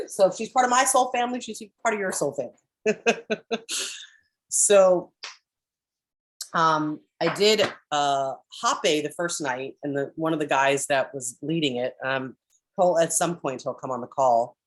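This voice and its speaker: A woman with a deep voice telling a rambling story on a video call from home, with many restarts and throat clearing. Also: unhurried. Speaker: spiritual group member sharing updates. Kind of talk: casual chat on a group call. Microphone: computer on a video call.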